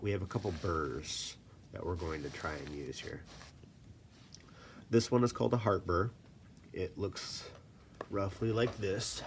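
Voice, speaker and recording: A man with a stuffy voice from a cold, casually explaining stone setting in a relaxed, self-deprecating way at his workshop desk. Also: low voice, slight background noise. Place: workshop desk, filmed from above.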